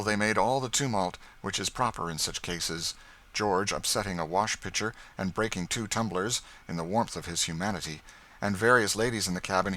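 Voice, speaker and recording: A man reading scripted literary narration as an audiobook narrator, in a measured storytelling manner. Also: on the low side, a bit bright.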